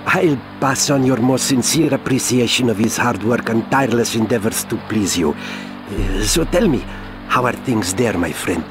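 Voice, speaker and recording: A man's voice: mid-range voice, normal speed, music in the background, close to an everyday voice. An actor playing a wry, unruffled henchman in a video game, dry and sardonic. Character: wry, unruffled male henchman reporting to his boss. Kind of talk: video game cutscene acting.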